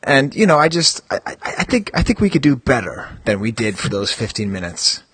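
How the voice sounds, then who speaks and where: A middle-aged man hosting a podcast from his home basement, chatty and rambling, hoarse from a cold. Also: mid-range voice, big pitch swings, a little fast, a bit bright. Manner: rambling, chatty, self-mocking. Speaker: podcast host. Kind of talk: casual chat.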